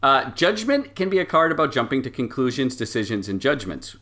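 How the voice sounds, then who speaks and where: A man acting as game master, narrating a story game and reading card meanings aloud in a conversational way with hesitant pauses. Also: medium pitch, sing-song, sharp tone. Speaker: game master of tabletop role-playing show.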